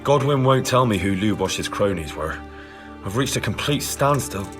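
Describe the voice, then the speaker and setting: Game role: dogged, plain-spoken young man, animated intonation, soft music underneath. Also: on the low side, normal speed. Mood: insistent. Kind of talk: video game cutscene acting.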